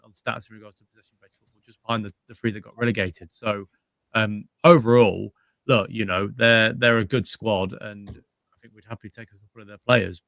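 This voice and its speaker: A man's voice: on the low side, fairly bright tone, no room sound, poor audio. A man co-hosting a casual football chat, hesitant with many fillers and restarts. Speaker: co-host, fan pundit.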